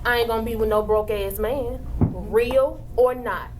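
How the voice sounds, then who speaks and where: A woman's voice: a little high, steady tone, unhurried, close to an everyday voice. A woman playing a blunt, foul-mouthed, demanding female customer in a stage play. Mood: gossipy, indignant. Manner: blunt, profane, colloquial. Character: brash, sassy, demanding female customer, fed up with men. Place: theatre stage. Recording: noisy live theatre stage recording.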